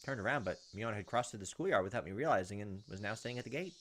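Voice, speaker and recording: A man reading a visual novel aloud as a gaming host, expressive, with casual asides. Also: on the low side, quick pace, slight background noise.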